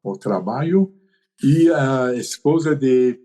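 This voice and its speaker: An older man tells his story as a podcast guest. His voice is mellow and dark, and his pitch moves up and down a lot. He talks with many pauses, fillers and tag questions. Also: expressive. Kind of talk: conversational storytelling.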